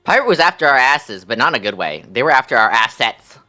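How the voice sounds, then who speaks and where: A man's voice: mid-range voice, very animated, fast, bright, forceful tone, soft background music. A man chatting casually on a livestream in a sassy, campy, slangy way peppered with swearing. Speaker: live streamer.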